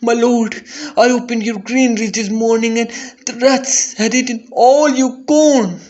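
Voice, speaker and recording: Man acting a high-pitched, panicked servant. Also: steady tone, measured pace, darkish tone, lo-fi sound. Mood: panicked, urgent. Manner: urgent, alarmed, crying out. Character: panicked male farm servant bringing terrible news to his lord. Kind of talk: dramatic poetry recital.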